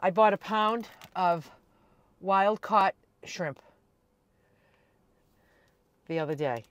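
A woman in her sixties chatting casually to camera as a vlogger, telling everyday stories in a chatty, animated way. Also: mid-range voice, takes it slowly, no room sound. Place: inside a small camper van.

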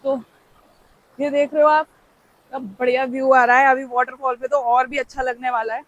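A woman's voice: high voice, flat delivery, normal speed, dry recording, lo-fi sound. Chatty young woman vlogging a hike. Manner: chatty, playful, exclaims while hiking. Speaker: travel vlogger. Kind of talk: casual vlog walking commentary. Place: outdoors on a forest trail by a stream. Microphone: handheld phone camera.

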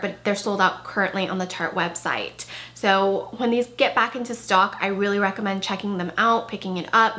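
A woman's voice: on the high side, a bit bright. A woman in her thirties chatting through a casual makeup product review in her bedroom, her voice a bit worn because she is losing it. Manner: chatty, enthusiastic, with frequent "like" and "really". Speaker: beauty vlogger. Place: bedroom with clothing rack, indoors.

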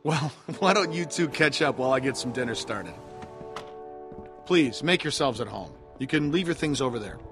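A man's voice: mid-range voice, lively intonation, normal speed, music in the background, close to an everyday voice. An actor playing a genial middle-aged man hosting survivors in a video game, polite and reassuring. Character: genial, soft-mannered middle-aged male lodge host. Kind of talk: naturalistic video game voice acting.

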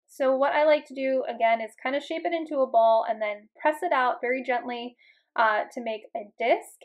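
A woman in her thirties with a somewhat high voice, talking through her home cooking in a relaxed, chatty way. Her speech is loose and unhurried, often broken by ums and uhs. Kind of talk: casual voice-over narration. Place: home kitchen voice-over.

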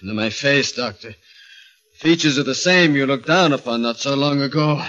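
An actor playing a tormented, desperate circus freak turned giant in a melodramatic old-time radio drama. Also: male voice, mid-range voice, normal speed, fairly bright tone, close to an everyday voice. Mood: frantic. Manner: melodramatic, emphatic. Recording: dull old radio recording, music behind.

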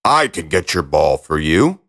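Man as a warty storybook frog, croaky, lowish voice, unhurried, courteous, insistent bargainer. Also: very animated, a bit bright, heavily acted. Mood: polite. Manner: formal, measured bargaining. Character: slimy warty male frog, polite but insistent. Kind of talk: storybook character voice.

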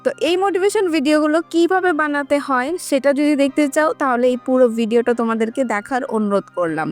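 A woman giving a casual how-to tutorial, talking directly to her viewers step by step. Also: sits high. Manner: instructional, addressing viewers directly. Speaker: tutorial host.

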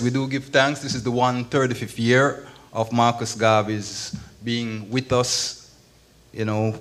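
A man's voice: medium pitch, fairly bright tone. An older man giving an informal speech of thanks at a podium microphone. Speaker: foundation president. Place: event hall stage at a podium.

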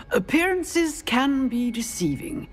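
An actress voicing a dignified older female professor of magic, measured, precise and dryly mischievous, in a video game. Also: high-pitched, sing-song, full character voice. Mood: wry, reminiscing. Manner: measured, precise, wry, authoritative. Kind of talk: video game cutscene acting. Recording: long reverberant hall, music behind.

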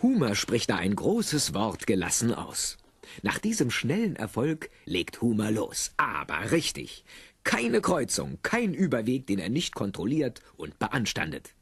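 A man with a husky voice narrating an audio drama in an animated, wry storytelling style. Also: medium pitch, measured pace, no room sound, heavily acted. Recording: dry cassette studio narration over music. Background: music.

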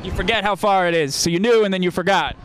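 A man in his thirties doing comic street interviews with a deadpan, mock-confused manner into a handheld microphone. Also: high voice, quick pace, a faint crowd. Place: crowded outdoor city square at night. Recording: noisy outdoor street recording.

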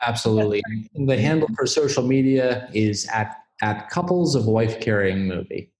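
A man chatting as a podcast guest over a video call, relaxed and agreeable. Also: mid-range voice, moderate pace. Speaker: film producer, guest. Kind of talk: casual chat. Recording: roomy echo.

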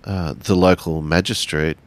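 Man acting as sober male samurai, roleplay game, low pitch, formal, cautioning, slow delivery. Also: fairly even intonation. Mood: measured, cautioning.

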